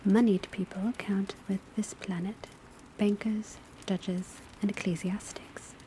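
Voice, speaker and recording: A woman giving a soft, breathy, mostly whispered ASMR classroom lecture in a measured, deliberate, instructive way. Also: medium pitch. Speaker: ASMR performer playing an astrology class teacher. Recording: steady ambient noise of rain and fireplace. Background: crackling fireplace, rain on rooftop, pencil writing.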